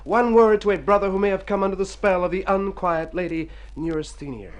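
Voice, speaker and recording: An actor playing an excitable, self-pitying hypochondriac in an old radio drama, with a theatrical, florid delivery. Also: male voice, high-pitched, a little fast, natural voice. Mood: lofty, rapturous. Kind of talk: golden-age radio drama acting.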